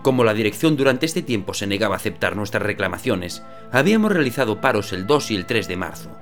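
A sober, weary shipyard worker speaks in a low voice with a Spanish accent. He tells his account steadily, and faint music plays beneath him. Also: very animated, fast. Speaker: shipyard worker interviewed by phone. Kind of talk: radio drama testimony.

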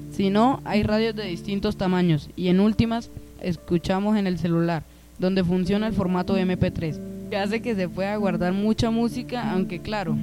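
A man's voice: high voice, close to an everyday voice, rough recording. A man with a Colombian accent playing a friendly young local in a radio drama, explaining things casually. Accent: Colombian Spanish. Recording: music bed under the voice.

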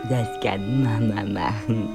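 An actress playing a bitter, sardonic wife in a radio drama, putting pointed questions with dry sarcasm. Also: female voice, a bass voice, fairly even intonation, slow pace, vocal fry, music in the background, heavily acted. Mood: bitter, sarcastic. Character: jilted wife, sardonic, bitter, middle-aged. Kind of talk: German radio drama acting.

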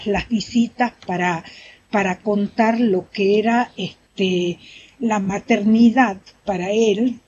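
An older woman giving testimony haltingly, with many fillers and pauses. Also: mid-range voice, darkish tone, a little hiss or hum. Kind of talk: spoken testimony. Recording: dull, lo-fi testimony recording.

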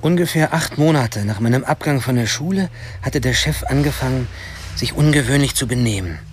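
A man's voice: medium pitch, animated, a little fast, a little hiss or hum, lightly acted. An actor narrating a radio drama as a wry young man looking back on his troubled teens, with a dry, ironic manner. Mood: wry, reflective.

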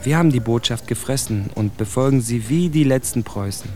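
Radio drama monologue: bitter, articulate immigrant man played by an actor, mid-pitched, intense and rhetorical, clear music underneath. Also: fairly quick, warm tone, lightly acted. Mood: bitter, insistent. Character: bitter, articulate immigrant man indicting his generation's plight.

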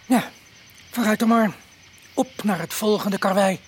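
An actor playing a nervous, timid burglar's sidekick in a radio play, stammering and hesitant. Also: male voice, sits high, a little slow, breathy edge. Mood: hesitant, yielding. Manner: stammering, hesitant, repeating words. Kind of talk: Dutch radio play acting. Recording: faintly noisy old radio play recording.

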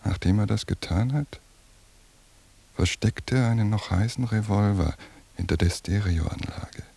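A weary, suspicious surveillance cop broods in an inner monologue for radio drama. His voice is low, dark and husky, with words kept hushed and inward. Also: measured pace, heavily acted. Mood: tense brooding. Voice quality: gravelly, husky. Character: weary, suspicious male police surveillance officer, brooding in thought.

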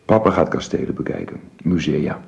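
An actor playing a caring middle-aged father in a radio drama, speaking in a gentle, halting and soothing way. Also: rumbling low, creaky, slightly rough, exaggerated voice. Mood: tender. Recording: muffled, noisy old radio recording.

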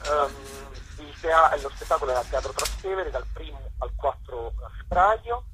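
A man's voice: medium pitch, a bit bright, slightly rough, low-quality recording. A man speaking as a theatre guest in a casual phone interview, hesitant and often breaking off mid-word. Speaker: guest theatre director and actor promoting a show.

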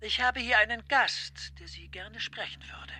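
A menacing bureaucrat blackmailer. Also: male voice, sits high, measured pace, pushed and bright, heavily acted. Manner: smooth, threatening, drops to a whisper. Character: menacing male EU director general, blackmailer.

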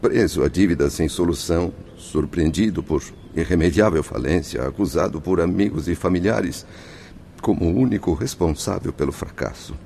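An actor playing an impatient, embittered ruined merchant in a religious radio drama, confessing gravely and deliberately. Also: male voice, low voice, warm tone, slight background noise. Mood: troubled confession. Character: impatient, embittered dead wine merchant, Portuguese suicide.

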